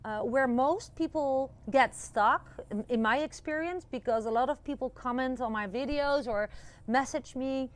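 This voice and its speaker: A woman's voice: high-pitched, moderate pace. A woman in her forties from the Netherlands with a Dutch accent, chatting encouragingly to a phone camera outdoors, with many fillers. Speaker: vlogger. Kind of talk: casual talk to camera. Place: outdoors in a rural yard. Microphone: phone camera at arm's length.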